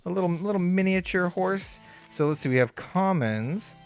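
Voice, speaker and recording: Easygoing guy unboxing old trading cards, ums and likes everywhere, kinda hoarse voice, quiet music in the back. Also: sits high, lively intonation, moderate pace. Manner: easygoing, chatty, lots of fillers, upbeat reactions. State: slightly hoarse. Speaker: card game channel host. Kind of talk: casual unboxing commentary.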